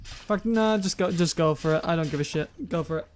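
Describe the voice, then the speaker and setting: Young man, monotone, high voice, rambling game commentary, faint game sounds behind. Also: brisk, slight background noise. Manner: rambling, self-correcting, casually profane. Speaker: gaming YouTuber. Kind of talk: gameplay commentary reading viewer comments.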